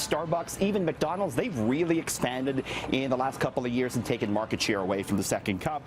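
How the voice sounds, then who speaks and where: A middle-aged Canadian man giving a conversational business news report in an animated, chatty, explanatory way. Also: medium pitch, background noise.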